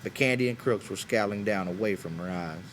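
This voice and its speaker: A man's voice: on the low side, a little fast, slight background noise. A man reading an audiobook as dramatic scripted narration. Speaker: audiobook reader.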